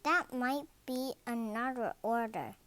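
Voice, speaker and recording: A young reader voicing a busy male ice cream shop owner who is quick to anger. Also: mid-range voice, smooth. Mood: busy, matter-of-fact. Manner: yelling, scolding.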